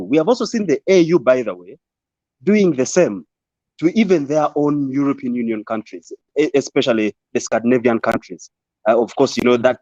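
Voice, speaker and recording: A man's voice: mid-range voice, a little mellow, no room sound, lo-fi sound. A man, whose first languages are Ateso and Swahili, speaking earnestly as a panel guest and often repeating words as he builds his point. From Uganda. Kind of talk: online panel discussion.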